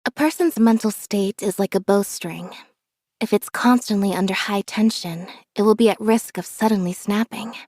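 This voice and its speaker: A woman voicing a reserved, observant young magician's assistant in a video game, speaking in a calm, measured deadpan. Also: medium pitch, dry recording, clean studio sound, natural voice. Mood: calm, detached. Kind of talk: scripted character voice lines.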